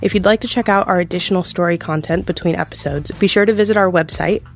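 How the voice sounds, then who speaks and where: A woman's voice: lowish voice, fast, faint music behind. A woman announcer giving a scripted read of end credits for an audio drama podcast. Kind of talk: scripted narration.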